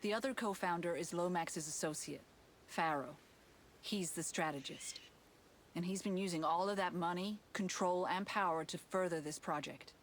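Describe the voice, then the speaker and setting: An actress playing an anxious, guarded company insider in a video game, explaining things earnestly. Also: female voice, fairly low pitch, moderate pace, a little hiss or hum, lightly acted. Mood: guarded, serious. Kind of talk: cinematic game acting.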